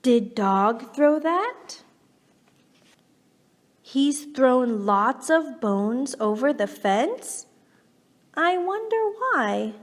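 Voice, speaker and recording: Animated high-voiced woman reading a picture book. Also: drawn-out words, long pauses. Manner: animated, coaxing, exaggerated reactions. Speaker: children's storybook reader. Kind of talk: expressive picture book read-aloud, talking to a character. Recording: long hall-like reverb.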